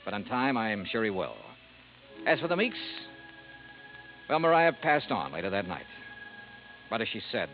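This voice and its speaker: An actor playing a shrewd, confident, wry hard-boiled investigator in a vintage radio noir, with crisp delivery. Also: male voice, on the low side, very animated, moderate pace, pauses often, soft background music. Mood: wry, reflective. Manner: crisp, clipped, confident accusations. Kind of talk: 1950s radio noir acting and narration. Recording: muffled, faintly noisy vintage radio recording.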